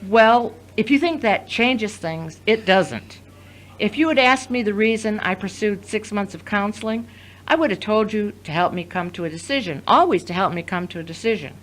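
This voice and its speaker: A woman's voice: mid-range voice, animated, normal speed, lightly acted, poor audio. A woman playing a betrayed, resolute wife confronting her husband in an audio drama. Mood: wounded, resolute. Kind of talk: scripted audio drama acting.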